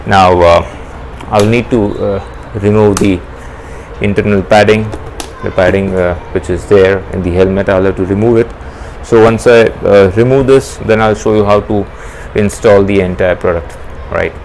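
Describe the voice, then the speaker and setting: A man in his thirties with an Indian accent, casually demonstrating a product to viewers with halting explanations. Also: fairly low pitch, fairly even intonation, a little mellow. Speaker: vlog host. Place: small indoor room at home. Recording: clearly noisy room ambience.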